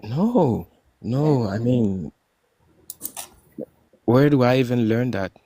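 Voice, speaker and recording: A soft-spoken man from Cameroon, calling in and talking hesitantly with frequent restarts. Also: medium pitch, animated, slow. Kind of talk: casual chat.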